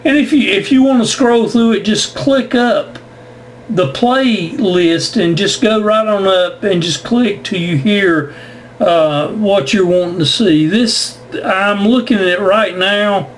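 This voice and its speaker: A man's voice: high-pitched, slow. A man explaining things in a casual amateur radio conversation, often repeating his words. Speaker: amateur radio operator recording contacts for YouTube. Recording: noisy amateur radio audio.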